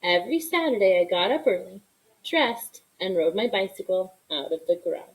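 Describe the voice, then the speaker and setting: A woman reading a children's story aloud in an expressive style, with deliberate, dramatic emphasis. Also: medium pitch, rough recording. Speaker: storybook reader.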